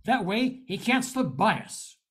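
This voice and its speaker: Female actor as male detective, medium pitch with very animated swings, earnest and deliberate, radio-play style. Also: background noise. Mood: determined. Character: earnest, resourceful male private eye. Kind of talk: old-time radio detective drama acting.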